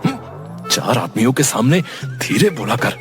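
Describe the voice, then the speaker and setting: Man as a blustering village boss, lively pitch swings. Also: measured pace, over music. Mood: scolding. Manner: scolding, blustering, questioning grunts. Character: blustering, stingy, exasperated village merchant boss. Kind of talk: Hindi cartoon story dialogue.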